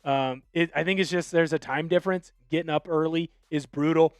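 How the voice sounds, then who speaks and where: A man's voice: on the high side, fairly even intonation, a little fast, clean tone, dry recording, studio quality. A young man from Washington State hosting a live football talk stream, opinionated and fired up as he reads out viewer texts. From Washington State, USA. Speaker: sports show host.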